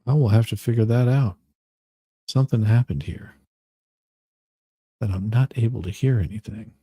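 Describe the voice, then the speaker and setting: A man hosting a livestream, moving between casual chat and mock-dramatic readings with theatrical, drawn-out emphasis. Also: medium pitch, dark tone, slightly rough, dry recording. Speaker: livestream host.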